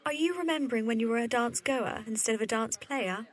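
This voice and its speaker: A woman playing an eager, practical young sister in an audio drama, speaking in a polite, animated way. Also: a little high, fairly quick, lightly acted. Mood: curious.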